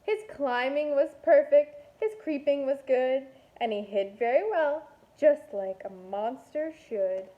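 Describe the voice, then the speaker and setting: A woman reading a picture book aloud to young children like a kindergarten teacher, expressive and deliberate, with playful asides. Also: high-pitched, lo-fi sound.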